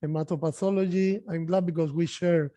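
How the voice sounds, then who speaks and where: A man chairing an online session and introducing the next speaker, a native Spanish speaker with a halting manner. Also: fairly high pitch, fairly even intonation, mellow, dry recording, lo-fi sound.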